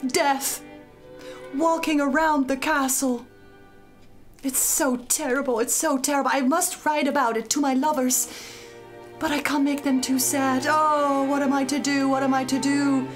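Lady doing a high voiced drama queen maiden puppet, vain n scheming, kinda slow, frets a lot. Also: flat delivery, faint music behind. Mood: anxious, melodramatic. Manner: melodramatic, repetitive, fretful. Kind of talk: live comic puppet show.

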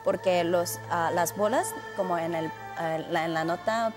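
A woman in her thirties, born in China, speaking carefully and somewhat haltingly as a guest in a TV studio interview. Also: on the low side, music in the background. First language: Chinese. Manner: careful, slightly halting non-native speech. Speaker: community guest. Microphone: handheld mic held by the host.

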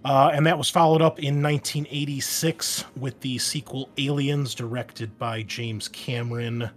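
A man with a fairly low voice hosts a gaming podcast in a casual, explanatory way. He talks as a game master introducing his show, with a faint layer of noise behind him. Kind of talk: casual scripted-outline introduction to a gaming channel.

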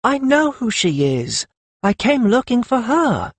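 Man voicing a high-pitched, flustered older mother. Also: huge pitch swings, measured pace, no echo, exaggerated voice. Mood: indignant, suspicious. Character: flustered, doting older mother, a warm-hearted working woman.

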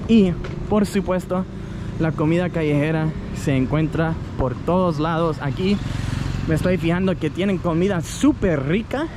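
A man in his thirties from the United States, chatting casually while walking and filming himself with a handheld camera, often pausing to search for words. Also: on the high side. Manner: chatty, self-correcting, heritage speaker searching for words. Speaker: travel vlogger. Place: city street outdoors in hot midday sun. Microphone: action camera at arm's length. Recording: outdoor traffic noise behind.

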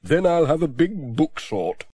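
A man voicing a brisk, bookish older village woman in a high, chirpy put-on voice. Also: very animated, dark tone, husky, exaggerated voice. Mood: cheerful. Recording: dry close audiobook recording.

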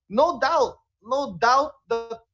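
A man's voice: very high voice, takes it slowly, fairly bright tone, faint music behind. A man with a Filipino accent comments conversationally and emphatically as co-host over a video call. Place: indoor room, on a video call. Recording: muffled video-call audio.

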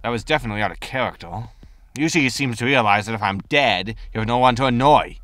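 Man acting an excitable space scavenger, lively and bright. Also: mid-range voice, quick pace, dry recording, close to an everyday voice. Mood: worried. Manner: excitable, muttering to himself. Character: greedy, hapless spaceship scavenger, fond of drink. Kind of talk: science fiction comedy audio drama acting.

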